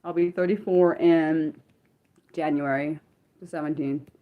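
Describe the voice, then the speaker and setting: A woman's voice: deep voice, measured pace, narrow, tinny audio. Rambling woman in her thirties. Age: 30s. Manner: rambling, self-correcting, swings between excited and subdued. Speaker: livestream host. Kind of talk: unscripted livestream chat, reading viewer comments.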